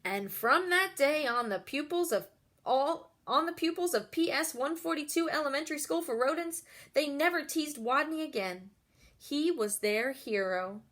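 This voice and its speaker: A woman's voice: high-pitched, lively intonation, moderate pace, fairly bright tone. A woman reading a picture book aloud expressively, with chatty asides addressed straight to young listeners. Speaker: storyteller reading to children.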